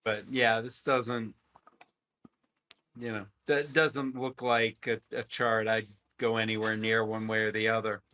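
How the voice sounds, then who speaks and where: A man talking about markets as a guest, conversational and halting, restarting his phrases often. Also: fairly low pitch, flat delivery, bright, forceful tone. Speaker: guest, fund manager. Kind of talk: casual market discussion.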